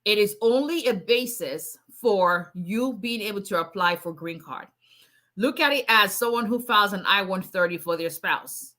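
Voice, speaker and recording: A middle-aged woman with a Nigerian accent, an immigration attorney answering viewer questions in a casual livestream, with a strong, loud voice. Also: medium pitch. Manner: loud, strong voice, laughs often. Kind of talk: livestream Q&A reading viewer comments.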